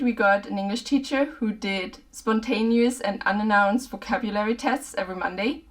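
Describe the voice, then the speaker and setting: German-accented woman vlogger, slightly distant mic. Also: medium pitch. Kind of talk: casual vlog narration.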